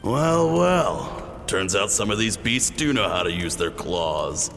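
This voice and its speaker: A ruthless army captain who commands with menace and mockery. He takes his time, swooping widely in pitch. The voice rings in long reverb with music underneath. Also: very animated, slow pace, gravelly, a bit bright, heavily acted. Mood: cold, contemptuous. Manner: menacing, mocking. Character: cruel commanding military captain hunting villagers. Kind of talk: dramatic video game acting.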